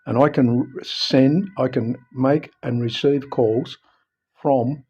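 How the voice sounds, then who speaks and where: A man with an Australian accent giving an informal, unscripted how-to talk in a halting, repetitive, explanatory way, recorded a little dull on a device microphone. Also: medium pitch, steady tone, a little mellow, slightly rough. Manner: halting, explanatory, often repeating himself. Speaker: presenter of a phone how-to video.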